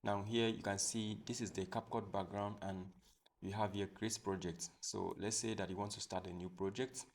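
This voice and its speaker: Low, gravelly male tutorial host. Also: fairly even intonation, normal speed, slight background noise. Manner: step-by-step, repetitive explaining. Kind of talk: screen-recorded software tutorial.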